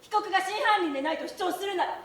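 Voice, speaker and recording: A woman's voice: extremely high pitch, quick pace. An actress playing a haughty young female prosecutor, imperious and taunting in a theatrical courtroom style. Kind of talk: theatrical courtroom drama acting. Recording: echoey stage, distant microphone, faint noise.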